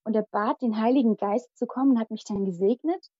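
A young woman whose first language is Malayalam, telling a personal story in a lively, dramatic way as an interview guest. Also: fairly high pitch, fast, no echo, muffled recording.

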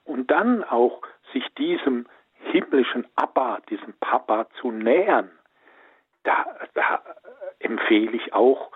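A man counseling listeners, his voice slightly husky and dry. He reassures and stresses key points gently. He comes through a narrow, phone-like line. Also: mid-range voice, dry recording. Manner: warm, reassuring, gently emphatic. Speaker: pastoral counselor, studio guest expert. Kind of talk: advice on a call-in show.

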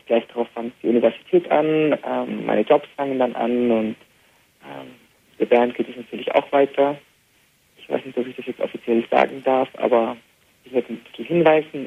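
A young man with Arabic as his first language and an Austrian German accent talks unhurriedly, padding his answers with filler sounds. His gravelly voice comes over a thin, tinny phone line. A faint hiss sits underneath. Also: mid-range voice. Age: young adult. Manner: relaxed, many filler pauses. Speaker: interview guest, band musician. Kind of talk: phoned-in radio interview. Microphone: telephone.

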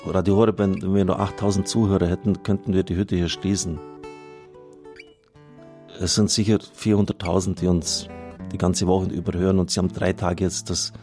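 Low-voiced man over guitar music. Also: little pitch movement, normal speed, darkish tone. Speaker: radio host and priest. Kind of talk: reading out listener testimonials and appealing for donations on air.

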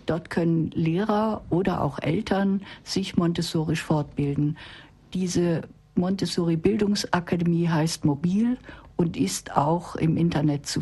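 Very husky, low-toned woman. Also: mellow. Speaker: expert guest, teacher and book co-author. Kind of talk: explanatory radio interview talk.

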